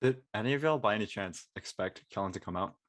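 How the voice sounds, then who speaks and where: Low-pitched casual man, dry sound. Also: animated, fast, a bit bright, no echo. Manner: informal, stumbling, questioning. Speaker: panel participant. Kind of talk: casual chat.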